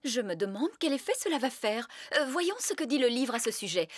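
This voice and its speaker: A woman's voice: a little high, sing-song, fairly quick, breathy edge, no room sound, studio quality. A woman playing a bossy, theatrical fairy nanny who casts spells in a French cartoon. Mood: sheepish. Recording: music behind the voice.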